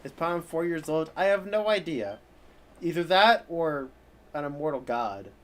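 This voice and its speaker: A man's voice: a little high, expressive, moderate pace, a bit bright. A young man streaming a video game live, commenting in a wry, offhand, joking way.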